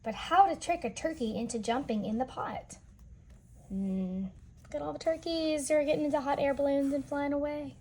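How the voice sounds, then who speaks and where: Higher-voiced woman reading to children, noisy recording. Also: moderate pace, warm tone. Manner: explains and asks questions as if to small children. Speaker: children's read-aloud storyteller. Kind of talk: picture-book read-aloud with asides to young listeners.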